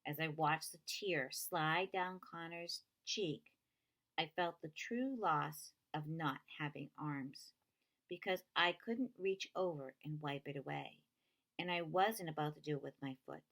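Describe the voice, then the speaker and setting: A woman's voice: deep voice, measured pace, a bit bright. A woman reading a children's novel aloud as first-person narration. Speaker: reader.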